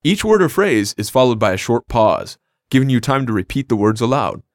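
A man's voice: medium pitch, very animated, brisk, dry recording. A man co-hosting a scripted language lesson, explaining phrases in an upbeat, plain way. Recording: dry studio recording.

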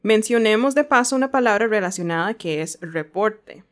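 Woman language lesson host, medium pitch, presenting and chatting casually. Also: animated, normal speed.

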